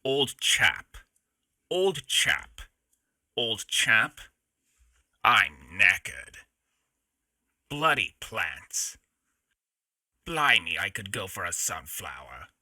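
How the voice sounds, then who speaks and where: A man voicing a sly, snide, well-mannered British gentleman zombie for a game, in short posh exclamations. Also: on the low side, very animated, very slow, long pauses, no echo, clean studio sound, pitched below the performer's own voice, heavily acted. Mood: snide, weary.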